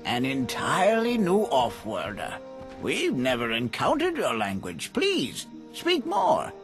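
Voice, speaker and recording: A man voicing an eerily friendly, curious alien villager in a video game, polite and drawn-out. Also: mid-range voice, huge pitch swings, heavily acted. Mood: eerily welcoming. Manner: polite, oddly fascinated, drawn-out. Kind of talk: video game cutscene acting. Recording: music behind the voice.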